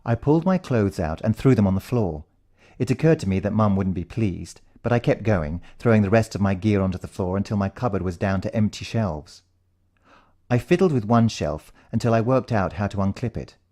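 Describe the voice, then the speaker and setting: A British man reads a first-person story with suspense and drama. His voice is on the low side and notably dark and soft-edged. The intonation moves widely and expressively. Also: expressive, a little fast. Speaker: audiobook narrator.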